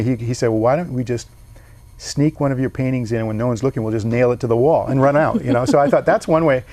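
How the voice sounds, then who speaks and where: A man's voice: mid-range voice, a little mellow, no echo. A man, a painter being interviewed, talking things through thoughtfully with frequent restarts. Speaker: painter and guest. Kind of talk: casual interview.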